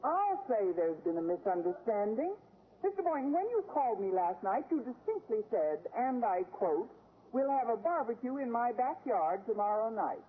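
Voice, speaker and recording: A woman's voice: fairly high pitch, big pitch swings, moderate pace. An actress playing a sardonic woman schoolteacher with dry, wisecracking delivery in an old radio sitcom. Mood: wry. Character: sardonic, lovelorn woman schoolteacher with quick comebacks. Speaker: lead actress and narrator. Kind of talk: 1940s-50s radio sitcom acting. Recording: tinny, very noisy vintage radio recording.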